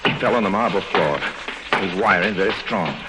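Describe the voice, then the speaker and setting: A charming rogue of old-time radio adventure. His voice is very low. He tells his tale in a wry, understated way, as if confiding in the listener. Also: animated, fast talker, heavy background noise. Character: roguish adventurer narrating his own escapade, wry and charming. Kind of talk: old-time radio drama acting and narration.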